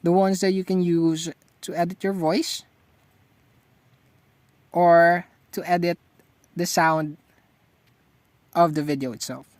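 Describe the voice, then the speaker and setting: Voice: mid-range voice, fairly even intonation, takes it slowly, pauses often, no echo. A tutorial host narrating step-by-step instructions for using a phone app.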